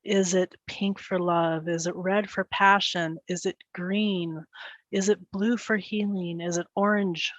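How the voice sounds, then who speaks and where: A woman leading a guided meditation, speaking gently and soothingly in short phrases with pauses between them. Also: lowish voice, steady tone, dry recording, dull audio.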